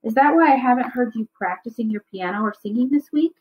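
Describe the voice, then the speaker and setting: Lady reader doing a fed-up protective mom from a kids book, higher voice, bit muffled. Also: lively intonation, fast talker, natural voice. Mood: concerned. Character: exasperated, protective mother in a family story. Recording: slightly dull, lo-fi recording.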